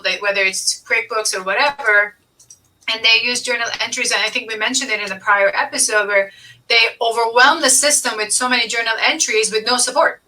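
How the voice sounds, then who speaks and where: A woman's voice: fairly high pitch, bright, forceful tone, recorded from a distance, low-quality recording. A woman hosting a business advice podcast, explaining things emphatically and repeating key points. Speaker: podcast host and accountant.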